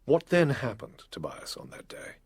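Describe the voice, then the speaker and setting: An actor playing an authoritative, determined gentleman investigator, deliberate and grave, in a period audio drama. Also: mid-range voice, huge pitch swings, husky, heavily acted. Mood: probing.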